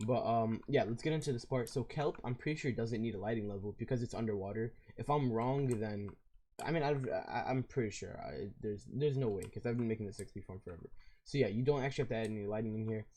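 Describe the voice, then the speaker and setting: Fast-talking hoarse man with a raspy voice. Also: lowish voice, warm tone, slight background noise. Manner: casual and rambling, with fillers and self-corrections. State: hoarse, losing his voice. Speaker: video game tutorial creator. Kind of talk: casual step-by-step game tutorial.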